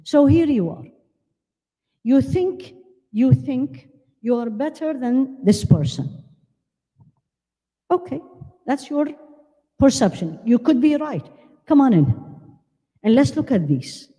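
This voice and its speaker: Older woman preacher, mellow voice in a hall. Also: high-pitched, slow pace, long pauses, breathy edge. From Iraq. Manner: animated, gesturing, asks the audience questions. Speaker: Islamic lecturer. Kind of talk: religious lecture to a women's conference. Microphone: handheld mic. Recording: long hall reverb.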